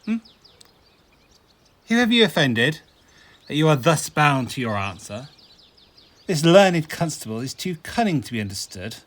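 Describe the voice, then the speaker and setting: An actor playing an urbane, commanding and teasing prince in a Shakespearean radio play, with theatrical, playful emphasis. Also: male voice, a little high, very animated, measured pace, long pauses, fairly bright tone. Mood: puzzled mocking. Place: home recording.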